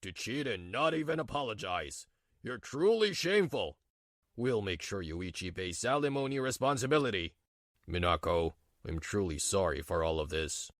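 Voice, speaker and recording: A man doing a stern, deep-voiced older father. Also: big pitch swings, normal speed, fairly bright tone, dry recording, studio quality. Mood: scolding, then grave apology. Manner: grave, admonishing. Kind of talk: manga dub acting.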